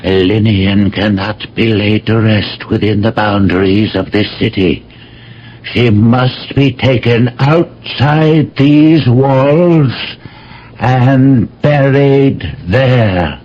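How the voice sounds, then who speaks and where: An actor playing a grave, authoritative counselor of an ancient Greek city, speaking solemnly and theatrically in a radio drama. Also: male voice, fairly low pitch, takes it slowly. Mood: grave, firm. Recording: dull old radio broadcast with music.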